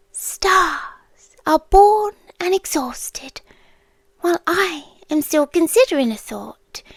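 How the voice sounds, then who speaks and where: A British woman voicing an ancient, tender and eerily calm world-ending being in an ASMR roleplay, in soft breathy speech that often drops to a whisper, close to the mic. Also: high-pitched, no echo, heavily acted. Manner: slow, deliberate, with long pauses. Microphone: close microphone, dry.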